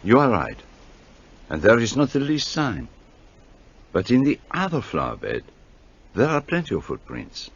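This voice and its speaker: Fussy old belgian detective, slow and careful, lively pitch, radio play. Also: male voice, slightly rough, highs cut off, full character voice. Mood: deliberate. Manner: slow, precise, fussy with French words. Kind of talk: radio drama acting.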